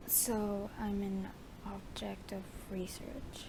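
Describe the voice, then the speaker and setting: A woman's voice: mid-range voice, little pitch movement, slow, gravelly, heavy background noise. A woman streaming a game playthrough, reacting casually with playful, muttered asides. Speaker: gaming streamer. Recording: game music behind the voice.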